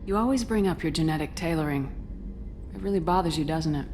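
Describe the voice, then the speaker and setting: A woman playing a steady, supportive military commander in a video game, direct and probing in how she talks. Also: low-pitched, fast, a little hiss or hum.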